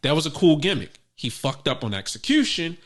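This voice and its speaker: A man co-hosting a casual sneaker podcast, talking in a profane, animated way that jumps up loud when he jokes. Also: fairly high pitch, fairly quick. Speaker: podcast co-host. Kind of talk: casual chat.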